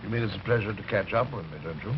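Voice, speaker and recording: A man's voice: fairly low pitch, fast talker, close to an everyday voice. A man playing a smug, urbane, sinister fat villain with pompous, mocking politeness in an old-time radio drama. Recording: noisy, muffled vintage radio recording.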